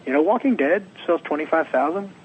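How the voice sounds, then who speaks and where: A young adult man, a comics writer being interviewed, slightly hoarse, talking in a rambling, animated way and acting out quotes. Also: fairly high pitch, a little fast, narrow, tinny audio, a little hiss or hum. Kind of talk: casual interview.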